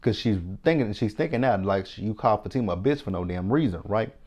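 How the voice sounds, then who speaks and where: Texan man, lower voice, sassy TV recap host, chatty and opinionated. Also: lively intonation, fast, darkish tone. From Texas. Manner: chatty, sassy, opinionated, talking straight to viewers. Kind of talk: casual TV episode recap.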